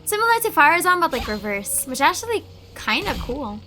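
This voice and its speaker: A woman's voice: high-pitched, very animated, a little fast, pushed and bright, a little hiss or hum. A woman doing casual gameplay commentary, reacting aloud in an informal way. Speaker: gaming streamer.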